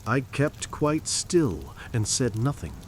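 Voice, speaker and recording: A man voicing an obsessed, cunning madman in dramatic gothic storytelling, theatrical and deliberate, dropping into hushed emphasis. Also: mid-range voice, husky, full character voice. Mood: hushed dread. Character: obsessed, cunning madman boastfully confessing a murder. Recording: steady background noise of fire and rain. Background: crackling fire and rain.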